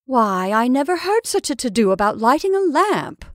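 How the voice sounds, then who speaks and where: A woman's voice: high voice, big pitch swings, normal speed, no room sound, clean studio sound, voice pushed up. Woman playing an impatient, peremptory scolding woman. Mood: irritated. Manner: insistent, scolding. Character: impatient, peremptory married woman busy with sewing.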